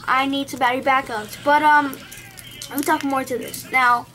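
A woman's voice: high voice, fairly even intonation, normal speed, a bit bright. A young woman with an Indian accent, casually reviewing a gadget at her home desk while thinking aloud and correcting herself. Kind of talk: casual unboxing vlog. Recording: noisy home camera recording.

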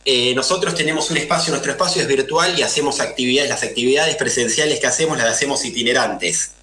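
A man in his thirties with a Buenos Aires accent explains things earnestly, pausing on fillers as he goes. His voice is bright and forward. It sounds like an echoey room with a faint hiss underneath. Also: medium pitch. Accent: Buenos Aires Spanish. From Argentina. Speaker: guest. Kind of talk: radio interview answers.

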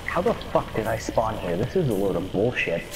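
A man's voice: on the low side, sing-song, brisk, music in the background. A man trading casual game banter in a theatrical, teasing, foul-mouthed way. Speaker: online game player. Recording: very noisy game voice chat.

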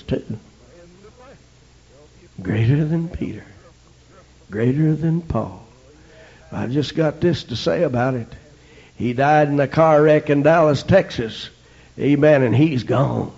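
A man's voice: high-pitched, fairly bright tone. An American man preaching a fervent Pentecostal sermon in emphatic, broken phrases punctuated with amens. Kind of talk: fervent Pentecostal sermon, swinging from shouts to hushed asides. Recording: dull, lo-fi archival sermon tape.

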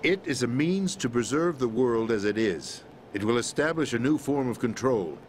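An actor playing a middle-aged president in a video game, explaining a conspiracy in a measured, grave manner. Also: male voice, mid-range voice, expressive, a little hiss or hum, lightly acted. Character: calm, grave middle-aged man, president briefing via codec. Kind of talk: video game cutscene voice acting.

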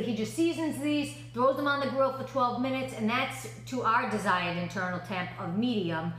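A woman's voice: medium pitch, fairly bright tone. A woman, chatting upbeat to camera at home, with many "you know" fillers. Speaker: lifestyle vlogger. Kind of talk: casual chat to camera. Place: living room at home. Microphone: camera at desk distance. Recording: echoey room with faint hum.

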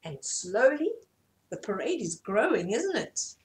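A woman in her fifties reading a story aloud to children in an animated way, often asking the listener questions. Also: on the high side, unhurried. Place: small indoor room at home.

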